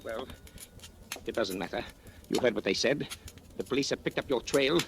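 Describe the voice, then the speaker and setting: A man playing a fussy, deferential, nervous household gentleman in old-time radio style. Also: medium pitch, normal speed, gravelly, lightly acted. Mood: flustered, indignant. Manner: prim, deferential, fluttery. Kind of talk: 1940s-50s radio acting. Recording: faintly noisy old radio recording.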